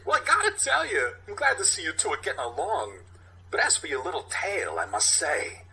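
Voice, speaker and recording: Halting, theatrical, volatile police captain. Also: male voice, high-pitched, wildly swooping pitch, gravelly, slight background noise, pitched above the performer's own voice, full character voice, rough recording. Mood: pleasant, sardonic. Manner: halting theatrical monologue swinging between hushed and shouting.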